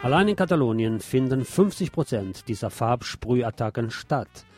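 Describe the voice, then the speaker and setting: A man with a medium-pitched voice reads a scripted news report as a radio presenter. He slips in short personal asides between items. Music with instruments plays behind him. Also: animated, normal speed.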